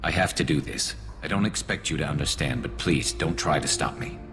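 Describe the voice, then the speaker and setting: An actor playing a grim, vengeful alien soldier in a video game, with a gravelly voice and clipped, controlled delivery. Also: male voice, animated, a little fast, music in the background. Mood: cold resolve. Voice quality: gravelly, very deep. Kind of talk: video game cutscene dialogue.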